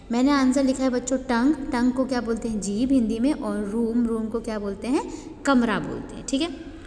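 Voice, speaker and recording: A woman teacher with an Indian accent whose first language is Hindi, talking children through a worksheet and reading English answers slowly for dictation. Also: a little high, darkish tone, long reverb tail, a little hiss or hum.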